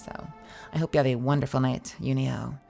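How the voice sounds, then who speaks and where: A woman's voice: rumbling low, fairly even intonation, fairly quick, creaky, mellow, soft background music. A young woman streaming games live, chatting playfully with her chat in a slightly scratchy voice.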